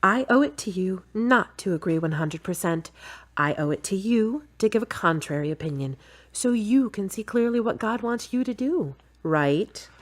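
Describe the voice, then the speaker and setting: An actress as a sensible, exasperated girlfriend in an audio drama. Her voice sits at a middling pitch, and she speaks in a frank, pointed and articulate way. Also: expressive, normal speed, natural voice. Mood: skeptical, pointed.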